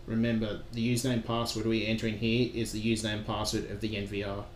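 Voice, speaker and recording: A man narrating a software tutorial as a trainer, giving plain step-by-step instructions in a roomy recording with some noise. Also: on the low side, completely flat delivery, fast talker. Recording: roomy echo with background noise.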